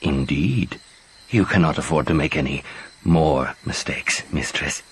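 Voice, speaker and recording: Sly, very deep-voiced dark elf assassin. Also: male voice, a little slow, husky, exaggerated voice. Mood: sly, needling. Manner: insinuating, coolly deferential, theatrical. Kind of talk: scripted video game cutscene acting.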